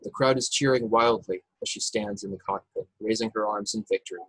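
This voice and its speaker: A man narrates stage directions for an action-packed script in a husky, fairly low voice. The sound is close and dry, as he reads the scenes out at a remote table read. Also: a little fast, fairly bright tone, no room sound, rough recording. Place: indoors, remote video call table read.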